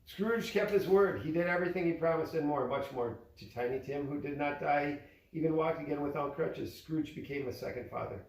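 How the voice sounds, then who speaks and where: A man narrating a storybook aloud as a father reading to his child. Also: on the high side. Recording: echoey room, distant from mic.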